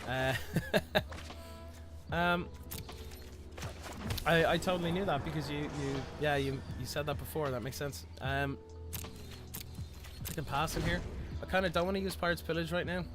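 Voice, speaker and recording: A chatty young Irish man with a mild accent and a fairly high voice, rambling through a game stream over a headset. Game music plays clearly under his talk. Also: normal speed, long pauses. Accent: Irish, Dublin area, mild. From Ireland. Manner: rambling, many restarts, chatty and self-mocking. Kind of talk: casual stream chat while playing a card game. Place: small room indoors.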